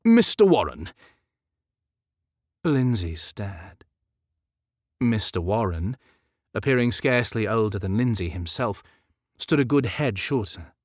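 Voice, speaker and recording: Male audiobook narrator, low register, measured and expressive storytelling, close dry studio sound. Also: dry recording. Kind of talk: scripted narration of historical romance fiction.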